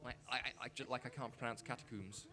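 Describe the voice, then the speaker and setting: A man bantering casually on a convention panel, speaking in a hesitant, stammering, excitable way. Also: mid-range voice, quick pace, crowd noise. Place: large convention panel room, indoors. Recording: live convention room with audience noise.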